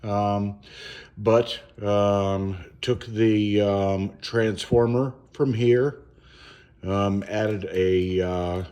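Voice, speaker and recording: A man giving a casual, unscripted hands-on walkthrough at home, speaking haltingly with frequent pauses mid-sentence. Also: on the low side, fairly even intonation, darkish tone, clear, resonant tone. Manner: halting, hesitant, pausing often mid-sentence. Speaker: home DIY reviewer. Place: indoors at home.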